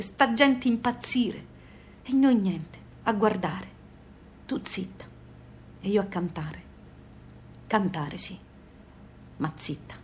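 A woman's voice: a little high, fairly bright tone. A woman playing a plain-spoken, nostalgic emigrant mother in a radio drama, with a Neapolitan-tinged Southern accent and colloquial, repetitive phrasing. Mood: hushed, stricken. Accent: Neapolitan-influenced Southern Italian. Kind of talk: theatrical radio monologue, intimate storytelling.

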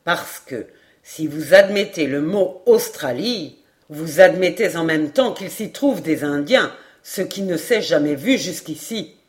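A woman's voice: low-pitched, expressive, a little slow. Woman reading as a proud, incredulous lord. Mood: challenging. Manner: indignant, forceful, sceptical. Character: incredulous, proud British lord challenging a scholar. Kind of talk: expressive audiobook character reading.

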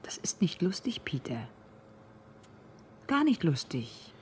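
A woman's voice: low-pitched, sing-song, slow pace, breathy edge, whispered, full character voice. An actress playing an earnest, worried woman pleading with her lover in a radio drama, speaking seriously and with some hesitation. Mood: worried, insistent. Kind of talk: German radio drama acting.

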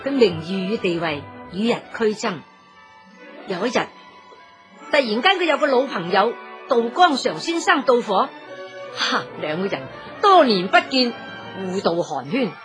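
A woman's voice: fairly high pitch, sing-song, unhurried, pauses often, fairly bright tone, soft background music. A woman with a Cantonese accent narrating a scripted story in a dramatic, colloquial storytelling manner.

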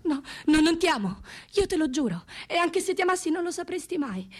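A woman's voice: very high voice, very animated, a little fast. An actress playing a passionate, devoted woman who implores her lover, in a theatrical radio drama style. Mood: desperate, defiant. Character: passionate, pleading woman devoted to a brutal warlord.

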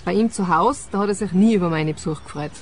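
An actress playing a grumpy, indifferent grown daughter in a radio play, curt and sardonic. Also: female voice, lowish voice, fast, darkish tone, faint music behind, lightly acted. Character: grumpy, indifferent grown daughter, night-shift arcade attendant. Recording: faint outdoor park noise.

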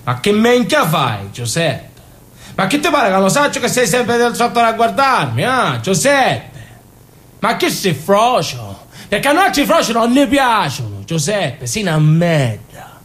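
Man acting a menacing Sicilian bully, slow drawl, high swooping voice, crude, mocking, theatrical. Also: wildly swooping pitch, a bit bright, soft background music, exaggerated voice. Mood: mocking contempt.

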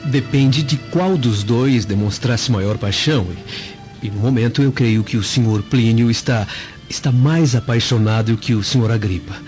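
An actor playing a humble young Judean slave in a radio drama, speaking with tactful, measured deference. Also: male voice, mid-range voice, a little mellow, natural voice. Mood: careful. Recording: muffled lo-fi, music underneath.

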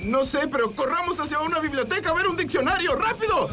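A man's voice: extremely high pitch, quick pace, heavily acted. A man playing a frantic, urgent sidekick in a comic chase sketch. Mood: panicked. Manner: excited, urgent shrieking. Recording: loud music over the voice.

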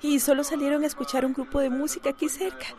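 A woman's voice: high-pitched, flat delivery, quick pace, darkish tone, natural voice. An actress playing a worried, placating mother and wife in a radio soap opera, with a Costa Rican accent.

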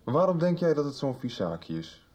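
A man's voice: on the high side, very animated, brisk, a little mellow. A young man playing a blunt, sarcastic son in a radio soap. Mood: sardonic. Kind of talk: radio drama acting.

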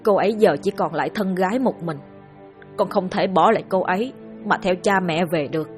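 A woman voicing a desperate young son who begs his parents and stands up to them, with a breathy voice and faint music behind. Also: fairly low pitch, brisk, a little mellow, lightly acted. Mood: pleading. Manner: pleading, resolute.

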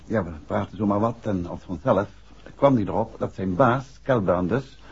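An actor playing an unhurried, courteous middle-aged detective and husband in a 1960s radio play, dry and lightly amused. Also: male voice, on the low side, vocal fry, a little mellow, husky, exaggerated voice. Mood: intrigued. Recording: muffled old 1960s radio recording.

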